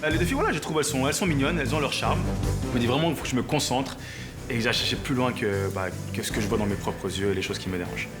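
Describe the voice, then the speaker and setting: A man's voice: medium pitch, very fast. A young man with a southern French accent, talking casually and hesitantly as a reality show contestant, often restarting his sentences. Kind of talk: casual chat and confessional. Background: background music.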